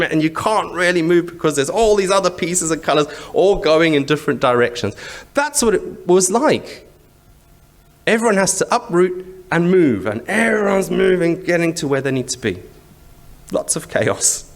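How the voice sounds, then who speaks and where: A male preacher with a Zimbabwean accent delivers a sermon in a somewhat high, lively voice that moves freely up and down. He explains things conversationally, posing rhetorical questions and repeating short phrases for emphasis. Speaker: church preacher.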